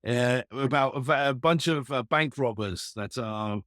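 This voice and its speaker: A man's voice: medium pitch, measured pace, a bit bright, dry recording, studio quality. A man from Ireland, raised in London, talking as an interview guest about his acting work, easygoing and often restarting his sentences. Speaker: actor and writer guest. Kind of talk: casual interview chat.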